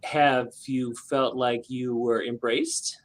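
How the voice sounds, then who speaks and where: A woman hosting a talk show, asking questions in an encouraging, halting, conversational manner. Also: a bass voice, fairly even intonation. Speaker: talk show host interviewer. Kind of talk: unhurried conversational interviewing.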